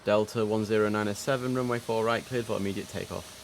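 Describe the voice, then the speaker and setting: Male game streamer, low voice, chatty between controller instructions, noticeable noise behind him. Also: fast talker. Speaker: streamer playing air traffic controller. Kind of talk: casual live game commentary mixed with radio instructions. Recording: steady background noise.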